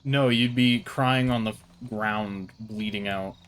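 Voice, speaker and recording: A man's voice: on the low side, steady tone, slow pace, fairly bright tone, slight background noise. A man hosting a casual co-op gaming session with friends, rambling and correcting himself as he talks, often breaking into laughter, on a headset mic. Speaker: streamer hosting a co-op game session.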